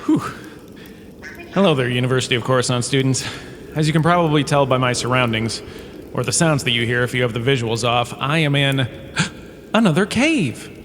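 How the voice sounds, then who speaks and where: An actor playing a pompous, washed-up star turned flippant professor, lecturing in a theatrical, breezily dismissive way for a comic audio drama. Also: male voice, medium pitch, moderate pace, pauses often, a bit bright, natural voice. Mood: exasperated, flippant. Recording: long cave reverb, background ambience noise.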